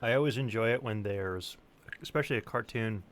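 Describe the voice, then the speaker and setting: A man's voice: lowish voice, brisk. A man co-hosting a stream with casual banter.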